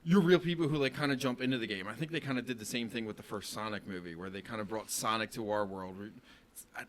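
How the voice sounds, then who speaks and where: A man's voice: lowish voice, fast, a little hiss or hum. A man talking casually as a podcast panelist, giving opinions in an informal, emphatic way. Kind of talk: casual chat.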